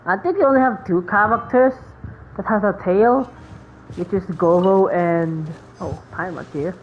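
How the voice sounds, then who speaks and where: A woman giving casual gameplay commentary, thinking aloud in a halting way. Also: mid-range voice. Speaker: game streamer. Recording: dull lo-fi mic, faint background noise.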